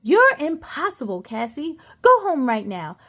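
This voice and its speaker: A woman's voice: high voice, sing-song, unhurried, sharp tone, heavily acted. A woman voicing a strict, hardworking female tooth fairy who scolds a troublesome young fairy in a storybook read-aloud. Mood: scolding.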